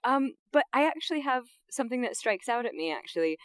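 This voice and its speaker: A woman's voice: fast talker, no room sound. Playful giggly woman on a dry mic. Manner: playful, giggly, bursts into high excited tones. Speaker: guest, voice actress and streamer. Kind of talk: casual podcast chat.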